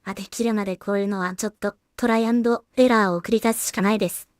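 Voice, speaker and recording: A woman's voice: mid-range voice, steady tone, fast, no room sound, studio quality. A woman thinking aloud in short fragments as she works, casually commenting on her creative process as a solo creator. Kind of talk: casual muttering to self while working.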